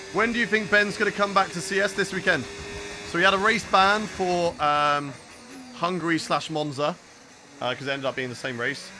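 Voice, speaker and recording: Young British man, gaming streamer, casual chatty commentary, slightly high voice, loud game engine noise behind. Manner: chatty, reacting aloud while answering viewers. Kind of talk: live gameplay commentary and chat replies. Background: clear racing game car sounds.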